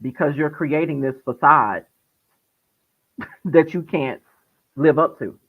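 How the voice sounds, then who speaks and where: A man's voice: a little high, takes it slowly, breathy edge. A man co-hosting a casual gossip chat who chuckles often and agrees readily. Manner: chuckling, agreeable, lively intonation. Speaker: co-host. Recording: tinny phone-line sound.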